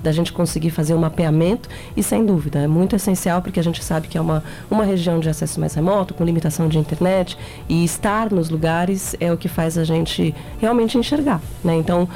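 A woman with a São Paulo accent, a campaign guest answering interview questions in an earnest, measured way. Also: fairly low pitch, warm tone. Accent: São Paulo Portuguese. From São Paulo, Brazil. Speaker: guest, education campaign member.

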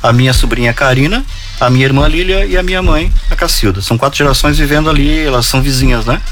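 A man's voice: mid-range voice, fast talker, a bit bright, soft background music. A man hosting a radio show, chatting casually and asking curious, joking questions. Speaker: radio host.

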